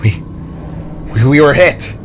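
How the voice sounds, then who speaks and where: A man's voice: a little high, slow pace, slight background noise, pitched above the performer's own voice. A man voicing a kind, playful, brotherly alien character in an audio story who gets rattled under pressure. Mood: dazed.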